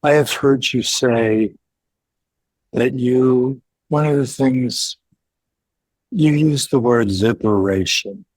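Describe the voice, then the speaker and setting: Older man, interviewer, slightly husky, careful and halting, dry close sound. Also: medium pitch, a little mellow, no room sound, low-quality recording. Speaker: guest interviewer. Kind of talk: interview questions in conversation. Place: home living room.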